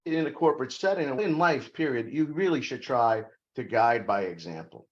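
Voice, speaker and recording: A man's voice: medium pitch, expressive, moderate pace, dull audio. A middle-aged man from Brazil, a guest on an interview podcast, talking emphatically about leadership and making self-deprecating jokes. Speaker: corporate security guest.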